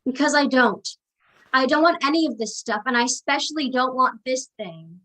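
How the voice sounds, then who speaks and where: An actress playing a young college-bound daughter, wounded but resolute, in a staged play reading. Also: female voice, high voice, expressive, normal speed, bright, forceful tone, full character voice, rough recording. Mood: defensive.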